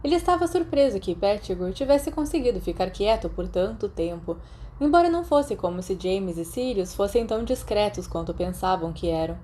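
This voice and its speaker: A woman's voice: mid-range voice, animated, brisk, warm tone, a little hiss or hum. A woman with a Brazilian accent reads a story aloud as scripted audiobook narration. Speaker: podfic narrator.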